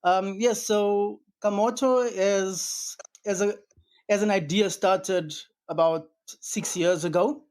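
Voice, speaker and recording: A man's voice: sits high, fairly even intonation, slow pace, a little mellow, clear, resonant tone. A South African man answering interview questions as a business co-founder, hesitant and filling gaps with "um" over a call line. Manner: hesitant, many fillers and restarts.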